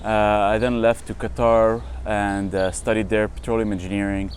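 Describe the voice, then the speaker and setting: A man in his thirties with a Lebanese accent, an engineer speaking in a measured, hesitant way with fillers in a documentary interview. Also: on the low side, steady tone, slight background noise. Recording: soft music under the voice.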